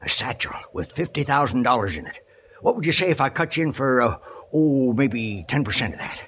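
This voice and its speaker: An actor playing a wily, ornery old outlaw with a broken leg in a radio western, in a folksy American Western drawl with halting exclamations. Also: male voice, medium pitch, lively intonation, fairly bright tone, natural voice. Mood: amused, scheming. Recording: dull, noisy old radio recording.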